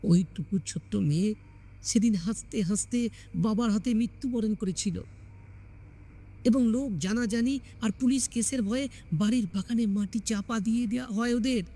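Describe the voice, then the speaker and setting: A man's voice: high voice, normal speed, warm tone. A man narrating a scripted horror story in a dramatic storytelling style.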